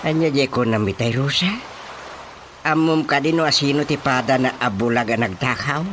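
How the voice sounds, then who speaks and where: A man playing an excitable older father in a radio drama comedy, exclaiming and muttering, easily astonished and indignant. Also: mid-range voice, moderate pace, a little hiss or hum, natural voice. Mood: grumbling. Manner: exclamatory, full of muttered interjections.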